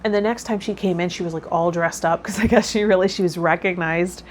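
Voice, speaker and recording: A woman's voice: lowish voice, fairly even intonation, fairly quick. A woman hosting a personal vlog, talking casually in a rambling, self-effacing way. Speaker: host of a tarot vlog. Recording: clear background noise.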